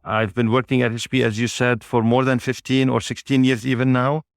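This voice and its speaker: A man of Lebanese origin, a native Arabic speaker, answering interview questions in a measured way with frequent pauses. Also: medium pitch, flat delivery, no echo, studio quality. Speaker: guest, business executive.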